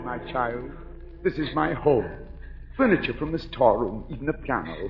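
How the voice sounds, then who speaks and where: An actor in a 1940s radio drama playing a mad, disfigured masked composer, theatrical and brooding, obsessively tender. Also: male voice, mid-range voice, animated, gravelly, lo-fi sound. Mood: brooding, eerily tender. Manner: theatrical, slow, brooding speech that swells into frenzy. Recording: echoey 1940s radio broadcast with music.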